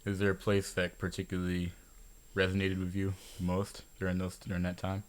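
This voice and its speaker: A man with a deep, low voice co-hosts a casual interview over a video call. He asks relaxed, rambling follow-up questions. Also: brisk. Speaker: co-host.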